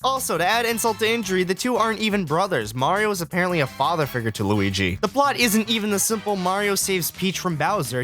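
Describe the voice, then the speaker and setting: A man with a slightly high voice delivers casual review commentary over background music. His intonation swings widely as he gripes with exasperated sarcasm. He swears freely and talks like an online video host. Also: pushed and bright.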